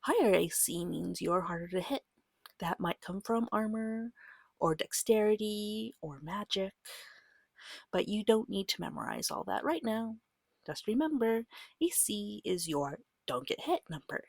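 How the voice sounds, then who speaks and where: Breathy, slow, soft-spoken ASMR woman. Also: medium pitch, animated, no room sound, studio quality. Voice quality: soft, breathy, often dropping to a whisper. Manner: gentle, patient, reassuring, unhurried. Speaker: game master guiding a beginner player.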